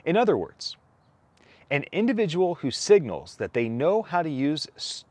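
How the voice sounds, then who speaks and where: A man reading a technical document aloud, phrasing deliberately and stressing each item as he goes. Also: on the high side, sing-song, darkish tone, no room sound. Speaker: co-author reading a whitepaper aloud. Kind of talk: read-aloud document narration.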